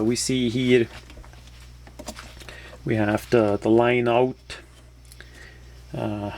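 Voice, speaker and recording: A man giving a casual, unscripted product walkthrough in halting, phrasing. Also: medium pitch, a little mellow, a little hiss or hum. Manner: halting, non-native English phrasing. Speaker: product reviewer.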